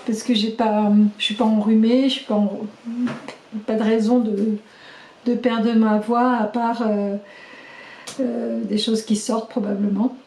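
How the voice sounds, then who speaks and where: A middle-aged woman recording a casual video diary, chatty and halting with many fillers, her voice slightly hoarse as she loses it. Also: on the high side, level tone, warm tone, slight background noise. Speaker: vlogger keeping a video diary. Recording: echoey room.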